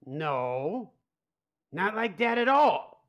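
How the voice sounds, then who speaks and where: A man's voice: sits high, very animated, extremely slow, a bit bright, exaggerated voice. A man playing an anxious, exasperated mob boss and father in a comedic radio play. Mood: anguished. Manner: exasperated, blustering. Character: harried, anxious mob boss father who secretly runs pizzeria.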